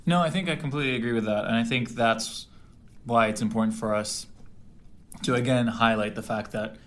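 A man's voice: mid-range voice, fairly even intonation, a little fast, a bit bright. A man with an American accent co-hosting a podcast, chatting casually and joking often. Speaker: podcast co-host. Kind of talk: casual chat.

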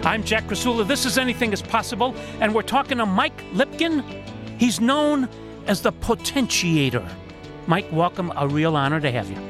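A man hosts a radio interview show. His voice is high and very animated in pitch, and he presents his guest with deliberate emphasis. Faint music plays behind him.

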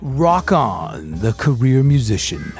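A man's voice: mid-range voice, sing-song, slow pace. A man hosting a podcast, closing the episode and reading a promo with a rolling, sing-song delivery. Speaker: podcast host. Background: faint music bed.

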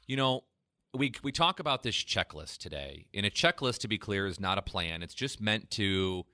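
A man hosting a retirement finance radio show, pitching a free tax analysis and explaining when to claim benefits. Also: lively intonation, normal speed, pushed and bright, no echo, studio quality. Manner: persuasive sales pitch, then explanatory. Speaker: financial advisor radio host.

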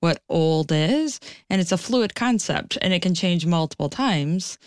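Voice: medium pitch, lively intonation, a little slow, smooth, no echo, clean studio sound. A co-host on a morning talk show, chatting in a reflective, conversational way. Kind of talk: casual chat.

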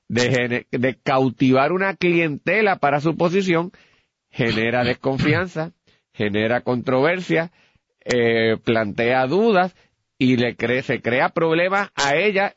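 Higher-voiced Puerto Rican man debating politics. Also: a bit bright, lo-fi sound, slight background noise. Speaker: political commentator. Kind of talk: radio political discussion.

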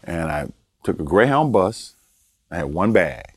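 Older guest from Baltimore, deep voice, easygoing anecdotes, dry recording. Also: male voice, a little slow, husky, dry recording. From Baltimore, Maryland. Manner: relaxed storyteller, chuckles, repeats words for emphasis. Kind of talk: casual storytelling interview.

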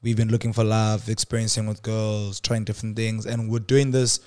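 A man's voice: on the low side, level tone, normal speed, darkish tone, clean tone. A man, hosting a casual podcast chat in a loose, joking way and laughing often. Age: 20s. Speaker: podcast host.

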